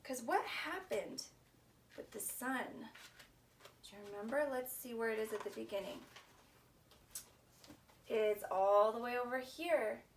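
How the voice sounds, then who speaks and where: Woman, high voice, children's storyteller, expressive teacher-like questioning, distant mic, faint background noise. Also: a little slow, long pauses. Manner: simple, expressive phrasing, prompting young listeners with questions. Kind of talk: animated read-aloud storytelling with questions to young children.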